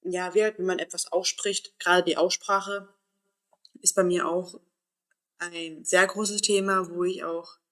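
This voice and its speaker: A man's voice: high voice, flat delivery, measured pace, fairly bright tone. A man talking candidly in a personal vlog, thinking aloud and searching for words as he goes. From Berlin area, Germany. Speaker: vlogger. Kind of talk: personal reflective monologue.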